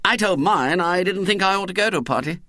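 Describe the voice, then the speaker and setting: A man's voice: high-pitched, lively intonation, fast talker, bright, forceful tone, dry recording, clean studio sound, pitched above the performer's own voice. A man voicing an earnest schoolboy explaining himself at length. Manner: earnest, long-winded reasoning. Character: earnest, self-righteous schoolboy.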